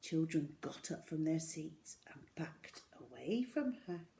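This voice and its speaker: A woman reading a children's story aloud in an expressive, dramatic way, with deliberate pauses. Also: deep voice, vocal fry, a little hiss or hum.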